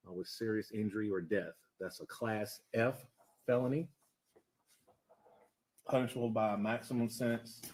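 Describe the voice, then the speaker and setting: Husky low-voiced male judge. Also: a little mellow. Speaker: judge presiding over a bond hearing. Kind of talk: procedural courtroom explanation.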